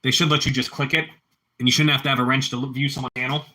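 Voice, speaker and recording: A man's voice: medium pitch, level tone, quick pace, sharp tone. A man with a Pittsburgh accent chatting casually with live-stream viewers, rambling and repeating himself as he answers the chat.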